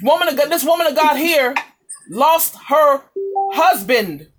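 A woman's voice: high-pitched, expressive, measured pace, fairly bright tone. A woman preacher giving an impassioned, exhorting charismatic sermon, repeating phrases for emphasis.

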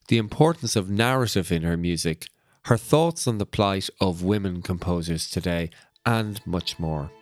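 A man's voice: lowish voice, no echo, clean studio sound. A man hosting a podcast, reading scripted introductions and putting questions to his guest. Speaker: podcast host, interviewer.

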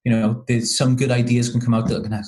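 A man's voice: medium pitch, flat delivery, brisk, a little mellow. A man from Wales, a podcast guest talking casually and hesitantly with many ums and restarts. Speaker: podcast guest, marketing consultant.

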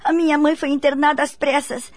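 A woman's voice: sits high, flat delivery, brisk, lightly acted. A Brazilian actress playing a polite, anxious young woman in a radio drama, with a hesitant way of talking. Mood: worried, affectionate.

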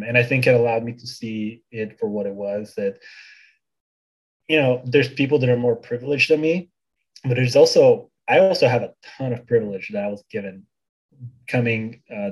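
Relaxed young man from Bulgaria. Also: mid-range voice, slightly rough, rough recording. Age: 20s. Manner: relaxed, many fillers and restarts. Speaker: guest. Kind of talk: casual interview chat.

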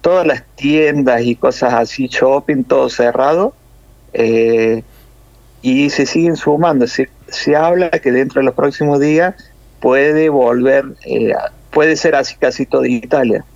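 A man from Córdoba with a Cordoban Argentine accent, a nurse explaining things in a measured way with many hesitations over a phone interview. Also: medium pitch, a little mellow, no room sound, highs cut off. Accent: Cordoban Argentine Spanish.

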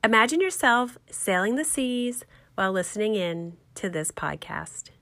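A woman's voice: mid-range voice, very animated, normal speed, fairly bright tone. A woman hosting a podcast, talking in an upbeat, enthusiastic way and interviewing a guest. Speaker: podcast host.

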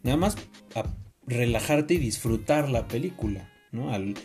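A man with a Mexican accent talking casually in an opinionated, slangy, expressive way, as a podcast co-host. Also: medium pitch, a little slow, faint music behind.

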